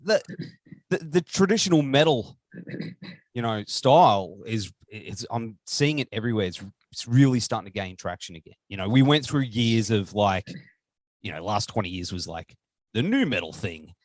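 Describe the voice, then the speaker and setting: Enthusiastic male podcast host, very animated intonation, dry close sound. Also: lowish voice, normal speed, no echo. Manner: enthusiastic, chatty, rambling questions. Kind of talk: casual interview chat.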